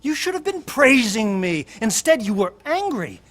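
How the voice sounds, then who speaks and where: An actor playing a prim, aggrieved rule-stickler turned blackmailer in an audio drama, formal, needling and self-righteous. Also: male voice, very high voice, big pitch swings, moderate pace, fairly bright tone, heavily acted. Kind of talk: naturalistic audio drama acting.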